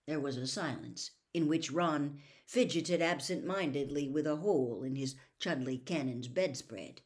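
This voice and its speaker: A woman reading a story aloud in an expressive storybook narration style. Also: low-pitched, measured pace.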